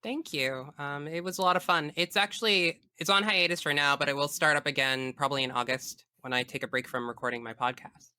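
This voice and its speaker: A man with a crisp, forward voice talks as a podcast guest in casual conversation. His delivery is bright and frank with a geeky streak, and the sound is close and dry. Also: a little high, fairly even intonation, brisk, hardly any pauses, no echo. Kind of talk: casual chat about video games.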